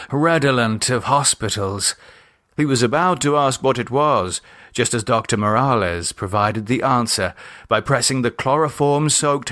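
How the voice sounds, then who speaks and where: A man reading his own horror novel as a scripted audiobook, with dramatic, deliberate storytelling. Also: mid-range voice, fairly bright tone.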